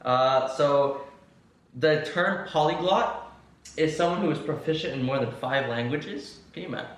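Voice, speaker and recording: A man's voice: on the high side, moderate pace. A young man from the United States hosting a casual podcast, chatty and playful with lots of fillers. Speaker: podcast host interviewing his co-host. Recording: echoey room, distant mic.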